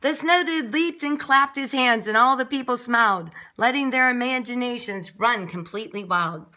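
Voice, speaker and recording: A woman's voice: fairly high pitch, normal speed, pushed and bright. A woman nursery teacher reading a picture book aloud expressively, with chatty asides to young children. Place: classroom, indoors.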